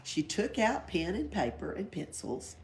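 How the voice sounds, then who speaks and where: A woman's voice: medium pitch, very animated, measured pace. A woman reading a children's storybook aloud expressively. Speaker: library read-aloud reader. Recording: background noise, library read-aloud.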